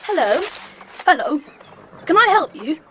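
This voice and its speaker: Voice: sits high, sing-song, measured pace, pushed and bright, slight background noise, exaggerated voice. A performer playing a helpful, plain-spoken young British stable boy in an audio drama, straightforward in manner. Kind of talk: children's audio drama acting.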